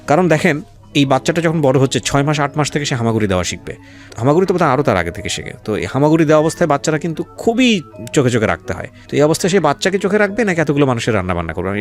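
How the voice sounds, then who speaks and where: A man hosting a life-story interview, reflecting to his listeners in a mix of Bangla and English words. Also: medium pitch. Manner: speaks to listeners directly, mixes in English words. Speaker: radio-style host and interviewer. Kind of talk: reflective commentary to the audience.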